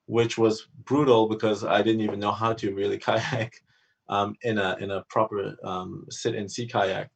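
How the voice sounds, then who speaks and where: Man, gravelly low voice, distant on a video call, halting with many fillers. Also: little pitch movement. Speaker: panel guest, adventurer. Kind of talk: casual panel talk.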